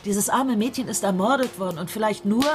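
An actress playing a sharp-minded lawyer in a radio crime drama, articulate and analytical. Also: female voice, medium pitch, a little fast, soft background music, close to an everyday voice. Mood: worried.

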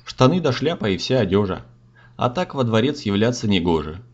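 A man's voice: lowish voice, very animated, brisk, a little mellow. A man narrating a comic fairy tale in folksy, theatrical rhyme. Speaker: storyteller, narrator. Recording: slightly dull audio, music behind.

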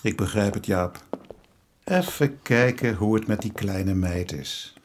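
Kindly police inspector, noisy recording. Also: male voice, on the low side, slow pace. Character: gruffly kind male police inspector, superior officer. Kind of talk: radio drama acting.

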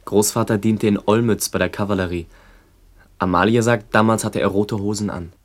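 Lowish male voice, an actor, sober radio play narrator, plain and matter-of-fact. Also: fairly even intonation, normal speed, natural voice. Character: sober man recalling village and family history. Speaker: second narrator.

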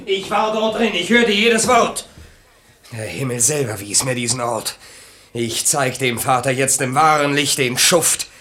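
A man's voice: medium pitch, expressive, a little slow, pushed and bright. A man playing an impulsive, indignant young son, vehemently declaiming verse in a radio comedy. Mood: indignant, defiant. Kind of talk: German radio play of classical verse comedy.

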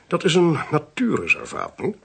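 An actor playing a drily witty, inquisitive gentleman investigator in a Dutch radio drama, with a theatrical, deliberate delivery. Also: fairly high pitch, huge pitch swings, vocal fry, slightly rough, exaggerated voice. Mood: curious, wry.

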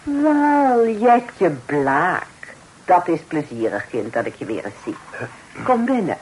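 An actress playing a pious, churchgoing old aunt in a Dutch period radio play, cordial yet sermonizing, in formal old-fashioned speech. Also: female voice, on the low side, big pitch swings, slow, darkish tone, slightly rough, exaggerated voice, low-quality recording. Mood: kindly, chatty.

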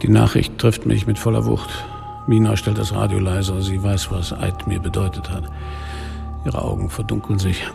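An actor playing a sardonic, world-weary police inspector who narrates a radio drama in a dry, deliberate manner. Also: male voice, on the low side, level tone, creaky. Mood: somber. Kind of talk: radio drama acting, literary first-person narration. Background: music.

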